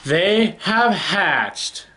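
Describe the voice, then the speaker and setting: A storyteller voicing a cheerful, matter-of-fact pig friend from a picture book, explaining in a deliberate singsong. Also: medium pitch, expressive, bright, forceful tone, full character voice. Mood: delighted.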